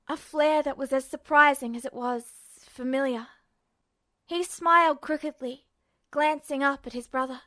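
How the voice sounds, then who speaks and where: A woman's voice: high voice, fairly bright tone. A woman reading scripted fiction aloud as a narrator. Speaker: podfic narrator.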